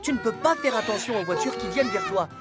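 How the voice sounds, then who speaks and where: A woman's voice: fairly high pitch, animated, fast, over loud music, natural voice. A woman voicing a kindly, protective rescue car that teaches children in an earnest, instructive way. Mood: serious, caring. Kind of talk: dubbed children's cartoon acting.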